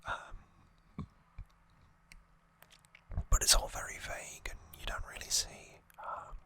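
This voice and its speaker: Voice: takes it slowly, sharp tone. An ASMR host whispering an unscripted, slightly hesitant retelling, close to the microphone. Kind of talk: whispered ASMR trivia recap.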